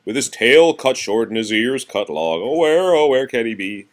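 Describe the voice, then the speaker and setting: A man reading nursery rhymes aloud to a young child in an animated sing-song, with patient, casual asides as a parent. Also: mid-range voice, moderate pace.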